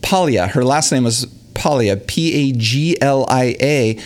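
A man's voice: mid-range voice, expressive, a little slow. A man hosting a podcast, chatting with a guest in an upbeat, enthusiastic way. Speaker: podcast host. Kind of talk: casual interview chat.

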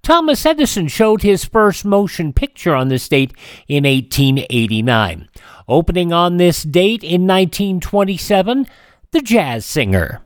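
A man's voice: sits high, very animated, a little slow, dry recording, clean studio sound. A man hosting a radio show, reading scripted notes on history.

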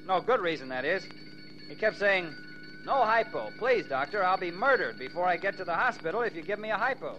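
An actor playing a brisk, matter-of-fact ambulance doctor in 1940s radio drama style. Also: male voice, high voice, lively intonation, bright, forceful tone, soft background music. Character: brisk male ambulance doctor rattled by a death. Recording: dull, noisy 1940s radio recording.

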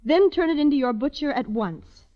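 A woman's voice: high-pitched, animated, normal speed. A woman reading a scripted, instructive 1940s radio announcement as an advertising spokeswoman. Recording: dull 1940s radio recording.

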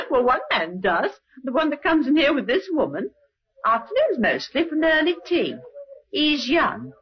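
High, chatty waitress. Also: female voice, sing-song, unhurried, full character voice. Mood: chatty, musing. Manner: musing, hesitant, gossipy. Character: chatty, deferential working-class English waitress. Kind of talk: old-time radio drama acting. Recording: dull old radio recording.

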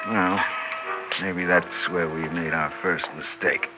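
An actor playing a suave, sardonic, tipsy husband and cold-blooded killer in a theatrical 1960s radio drama. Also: male voice, deep voice, level tone. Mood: gloating, sardonic. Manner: theatrical, mock-tender, deliberate. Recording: muffled vintage radio recording with music.

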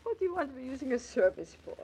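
A devoted housekeeper in an old radio drama. Her voice is high and husky, rising and falling in a lively way. She sounds plain-spoken, modest and stubbornly insistent. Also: rapid-fire, full character voice. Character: hard-working, stubborn, devoted housekeeper and baby nurse. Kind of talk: 1940s radio drama acting.

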